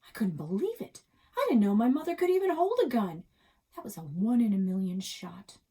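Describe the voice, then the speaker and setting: Husky woman reading a story aloud. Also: medium pitch, sing-song, a little fast, dark tone. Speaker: librarian reading a children's novel aloud. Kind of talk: expressive read-aloud storytelling.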